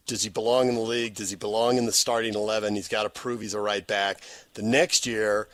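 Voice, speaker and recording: A man's voice: lowish voice, flat delivery, brisk, fairly bright tone, no room sound. A man hosting a sports radio show, building long interview questions out of short, punchy phrases.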